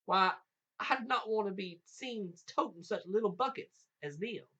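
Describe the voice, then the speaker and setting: A man's voice: high-pitched, unhurried, pushed and bright, dull audio, pitched above the performer's own voice, full character voice. Man acting a cocky Appalachian trickster boy. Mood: boastful. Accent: Appalachian American. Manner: drawling, folksy bluffing, hollering calls. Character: cocky young trickster boy, coolly boastful.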